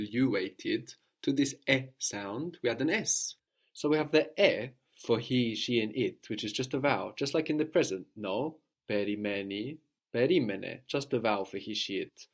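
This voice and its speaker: A man's voice: mid-range voice, moderate pace. A man teaching a language lesson, explaining patiently and prompting his student with questions.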